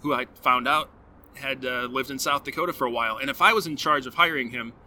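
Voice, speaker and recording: A man hosting a podcast who tells animated stories, acts out playful quotes and reads sponsor messages. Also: medium pitch, fast, slight background noise. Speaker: podcast host. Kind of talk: casual chat with sponsor reads.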